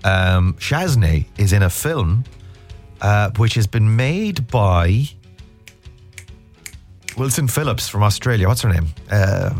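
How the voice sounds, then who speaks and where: Male radio host, congested, low-voiced, rambling jokey banter over music. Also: lively intonation, slow, pauses often. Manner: jokey, rambling, bunged-up from a cold. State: blocked nose from a mild cold. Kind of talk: casual radio chat.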